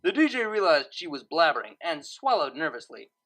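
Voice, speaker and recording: A woman reading a story aloud as narrator, in expressive scripted narration. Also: sits low, brisk.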